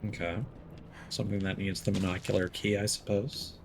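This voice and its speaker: Low-pitched man streaming a game. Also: flat delivery, fairly quick, vocal fry, a little hiss or hum. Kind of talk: casual live game commentary.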